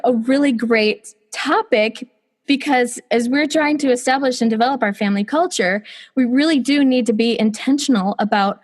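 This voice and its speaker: A woman hosting an interview podcast, with a scratchy voice today, reading her intro and then chatting eagerly with her guest. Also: a little high. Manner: enthusiastic, reads intro script then chats. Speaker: podcast host.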